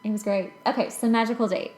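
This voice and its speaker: A woman hosting a casual, chatty podcast, telling stories in an animated way with giggly asides. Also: a little high, fast talker. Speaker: podcast host. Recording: roomy, echoing podcast recording.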